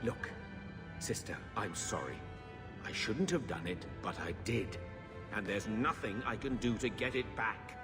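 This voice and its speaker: Casting: a weary, defeatist uncle of middle age, grudging tone, video game drama, music underneath. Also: male voice, lowish voice, lively intonation, a little slow. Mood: regretful, defensive. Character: bitter, defeatist older uncle, down on his luck. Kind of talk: video game cutscene acting. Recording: long hall reverb, music behind.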